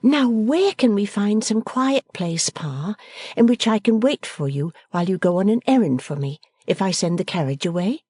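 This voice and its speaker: A woman's voice: mid-range voice, lively intonation, moderate pace, darkish tone, husky, no echo, pitched above the performer's own voice. A woman reading the part of a playful, affectionate young woman who teases and orders her father about. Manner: teasing, commanding, merry.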